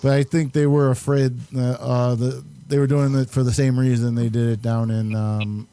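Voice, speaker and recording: A man hosting a casual talk show, introducing guests in a halting, chatty way. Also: mid-range voice, little pitch movement, dark tone, slight background noise. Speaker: show host.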